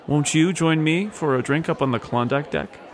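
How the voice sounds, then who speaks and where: Man playing suave, flirtatious society gentleman. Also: fairly high pitch, moderate pace, some chatter behind, lightly acted. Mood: teasing, charming. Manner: genteel, teasing. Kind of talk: 1940s radio serial acting.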